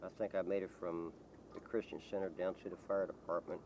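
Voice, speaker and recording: A man with a very deep voice talks casually to an interviewer. He rambles in a folksy, halting way, like someone used to telling local stories. The recording carries clear background noise and vehicle rumble. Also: little pitch movement, darkish tone. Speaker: local resident being interviewed.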